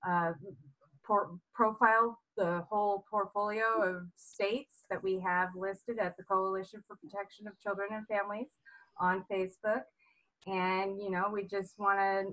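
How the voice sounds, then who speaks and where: A woman hosting an online group meeting, rallying and excitable, losing her voice a little. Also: on the high side, low-quality recording. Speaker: meeting host.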